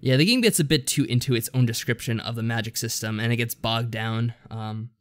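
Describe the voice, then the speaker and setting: A man speaks in a casual, conversational way at a middle pitch. He feels his way through what he is saying, hesitant and loosely rambling, as a podcast co-host does in an informal discussion. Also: a little fast, clear, resonant tone. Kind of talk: casual discussion recapping a visual novel.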